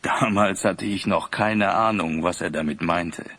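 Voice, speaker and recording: A fallen old ruler in a fantasy audio drama. He speaks very slowly in a lowish voice, solemn and full of remorse, as if in confession. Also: a bit bright, heavily acted. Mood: reflective, sombre. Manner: solemn, confessional, formal wording. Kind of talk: German fantasy audio play acting.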